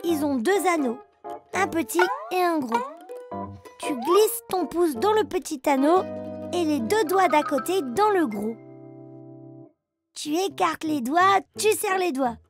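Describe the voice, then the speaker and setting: A very high, childlike voice for a proud little boy bear cub in a children's cartoon. He talks in simple, eager phrases at an unhurried pace, like a small child explaining step by step. Children's music plays clearly behind him. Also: lively intonation, full character voice. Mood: friendly, instructive. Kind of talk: children's cartoon, instructive nursery narration.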